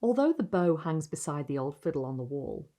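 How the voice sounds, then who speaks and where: A woman reading gothic literary prose aloud as dramatic narration, with expressive, deliberate phrasing and pauses. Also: low-pitched. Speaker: reader of literary short stories.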